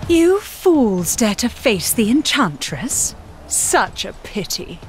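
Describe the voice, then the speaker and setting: An actress voicing a haughty, menacing, grandiose evil sorceress in a video game. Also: female voice, on the high side, very animated, faint music behind. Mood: scornful. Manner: grandiose, drawn-out.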